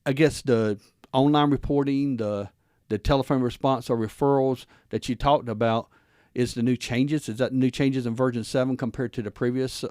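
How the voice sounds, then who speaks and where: A man hosting a conversational interview, talking informally and wordily, with halting repeats as he works through his thoughts. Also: medium pitch, steady tone, a little mellow, no echo, clean studio sound. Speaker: guest host, former police officer.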